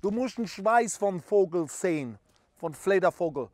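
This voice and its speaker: A man's voice: high voice, expressive, measured pace, darkish tone, no room sound. A man playing a boastful self-proclaimed bird photographer who speaks broken German mixed with English. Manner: boastful, stumbling over words. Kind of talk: parody how-to video.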